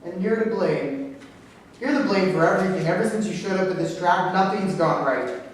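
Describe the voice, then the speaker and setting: Accusing indignant guy actor reading a play, high voice, distant mic with faint noise. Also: expressive, moderate pace. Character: indignant, accusing bar patron. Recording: echoey room, far mic, faint noise.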